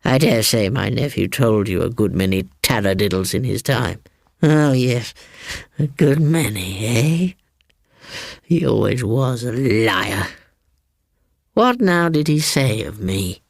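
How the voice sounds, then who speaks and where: A man voicing a sour, peevish, half-blind old aunt with a croaking voice, in a ghost story reading. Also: mid-range voice, animated, takes it slowly, no echo, clean studio sound, heavily acted. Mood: scornful. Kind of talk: dramatised audiobook ghost story.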